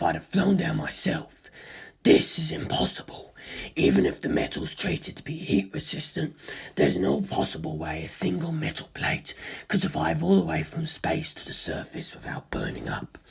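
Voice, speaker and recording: A narrator voicing an ancient, arrogant superhuman male villain in an audiobook, lecturing humans with cold contempt in a rasping, breathy growl. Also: deep voice, pitched below the performer's own voice, heavily acted. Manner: condescending, lecturing, disdainful. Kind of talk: audiobook character dialogue.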